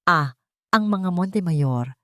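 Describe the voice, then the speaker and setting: A woman's voice: on the low side, lively intonation, slow pace, a bit bright, no echo, studio quality. A woman voicing a careful, grave elderly businessman, a guarded uncle figure in an audio story. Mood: hesitant.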